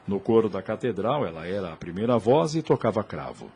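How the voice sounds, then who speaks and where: A man's voice: on the low side, very animated, a little fast, darkish tone, husky. A man with a Brazilian accent giving scripted dramatic narration as the storyteller of an audio drama.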